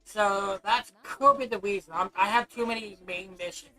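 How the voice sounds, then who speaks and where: A woman streaming a video game and chatting casually, her voice scratchy and hoarse, rambling with many restarts. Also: mid-range voice, moderate pace, sharp tone, faint music behind. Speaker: gaming livestreamer. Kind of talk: casual chat while playing.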